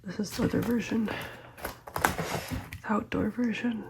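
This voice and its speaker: Soft-spoken, slightly congested young woman. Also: mid-range voice, steady tone, a little slow, vocal fry, noisy background. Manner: gentle, chatty, lots of ums and small laughs. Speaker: photocard collecting vlogger. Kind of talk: soft-spoken collecting vlog, often whispered.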